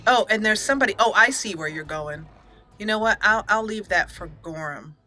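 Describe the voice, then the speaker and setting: A woman's voice: mid-range voice, animated, brisk, sharp tone. A woman hosting a gaming channel, giving casual, chatty let's play commentary as she talks aloud to the characters.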